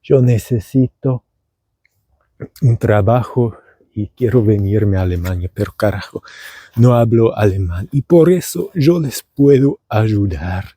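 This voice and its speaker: Man, Argentine accent learned abroad, meandering theatrical monologue, very dark tone, dry sound. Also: fairly low pitch, lively intonation, a little slow, breathy edge, no echo. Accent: Argentine Spanish learned by a German speaker. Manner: meandering, theatrical, with many fillers and restarts. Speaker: language teacher and podcaster. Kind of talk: rambling comedic monologue. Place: outdoors at dusk.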